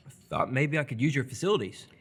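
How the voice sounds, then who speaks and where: A man's voice: medium pitch, expressive, fast, breathy edge. An actor playing a friendly, awkward seventeen-year-old boy in live radio theatre, talking casually and a little hesitantly. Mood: hesitant, polite.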